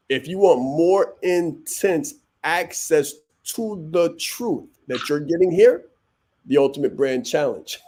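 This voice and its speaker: A man's voice: fairly high pitch, warm tone. A man hosting a business podcast, giving a blunt, preachy motivational monologue full of punchy repetition. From Vietnam. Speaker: podcast host and business coach.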